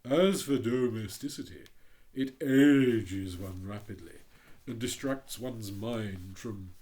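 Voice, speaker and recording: A storyteller voicing a haughty, conceited male firework rocket, slow and grandly drawn out. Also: medium pitch, warm tone, close to an everyday voice. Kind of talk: storybook reading.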